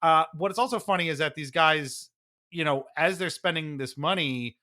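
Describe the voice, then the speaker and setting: A man co-hosting a sports podcast in casual chat, animated and sardonic, mockingly acting out what others say. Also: a little high, moderate pace. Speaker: podcast co-host.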